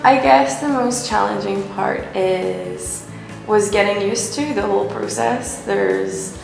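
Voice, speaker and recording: A woman with a Northern Irish accent, an English teacher chatting in a recruitment interview with soft ums. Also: mid-range voice, slow pace, creaky. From Northern Ireland. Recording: very echoey room, music bed.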